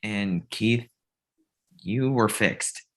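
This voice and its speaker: A man in a comedy table read playing an earnest, kindly young roommate, coaxing and expressive. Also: lowish voice, drawn-out words. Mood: hesitant.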